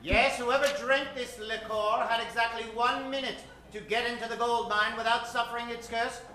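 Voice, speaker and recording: A man's voice: extremely high pitch, steady tone, normal speed, sharp tone. A man narrating and announcing in a theatrical, melodramatic old-time radio style. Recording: echoey stage, music behind.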